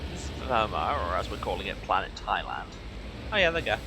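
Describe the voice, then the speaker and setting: A man's voice: fairly low pitch, very animated, quick pace, heavy background noise. A man giving casual, rambling live commentary on a video game with wry, jokey asides. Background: video game music and sound.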